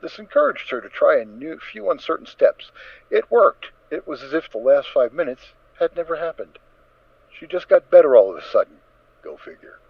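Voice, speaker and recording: A man narrating a scripted story dramatically, with measured, emphatic phrasing. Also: on the high side, sing-song, gravelly, no echo. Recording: dull, dry lo-fi recording.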